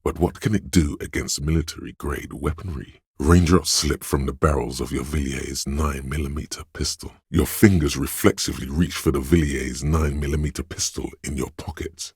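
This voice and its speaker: Very deep, husky, grave game narrator. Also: male voice, fairly even intonation, a little mellow, dry recording, studio quality, exaggerated voice. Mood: grave, deliberate. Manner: measured, deliberate, literary, dramatic pauses. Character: grave, wry inner-voice narrator of a detective game. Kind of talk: scripted game narration, second person.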